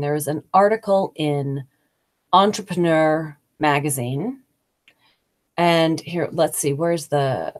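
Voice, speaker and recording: Low-voiced American woman host. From United States. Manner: conversational, thinking aloud with fillers. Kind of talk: casual talk on business English.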